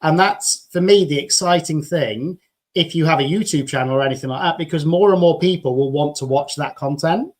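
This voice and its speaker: A man's voice: on the high side, fairly quick. A man from England hosting a live video chat, talking in an enthusiastic, chatty way with frequent restarts. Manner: chatty, enthusiastic, repeats words when starting. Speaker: host. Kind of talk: casual chat.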